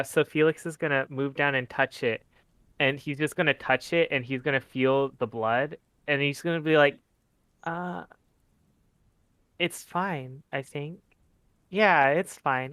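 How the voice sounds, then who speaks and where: A man playing a tabletop roleplaying game, talking casually and haltingly as he acts out his character. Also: on the high side, pushed and bright, smooth, no room sound.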